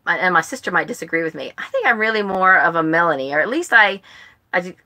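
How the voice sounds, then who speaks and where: Casting: woman, bright forceful tone on the lower side, chatty rambling livestream host, informal delivery. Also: expressive, fast talker. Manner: rambling, self-interrupting, chatty. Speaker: book club host and livestream presenter. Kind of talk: casual livestream chat to viewers. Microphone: phone.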